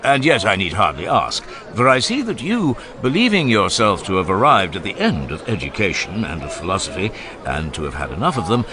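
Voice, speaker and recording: A man's voice: sits low, wildly swooping pitch, fairly quick, crowd noise. A man voicing Socrates, an older male philosopher who speaks with teasing irony in a dramatized audiobook. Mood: ironic. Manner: ironic, teasing, expansive. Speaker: voice actor.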